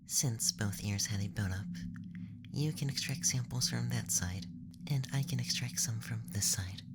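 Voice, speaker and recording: A man voicing a curious, bumbling alien examiner in a soft, breathy ASMR whisper, close to the microphone. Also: fairly low pitch, moderate pace, very noisy, close to an everyday voice. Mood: clinical, curious. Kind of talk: ASMR roleplay.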